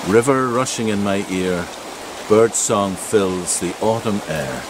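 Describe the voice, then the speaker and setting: A Northern Irish man in his sixties recites poetry with a measured rhythm in a lowish voice. He is outdoors, with water rushing loudly behind him. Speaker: amateur poet. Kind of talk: poetry reading. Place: outdoors in a wooded glen by a stream. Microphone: camera mic a couple of metres away. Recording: loud rushing stream noise outdoors.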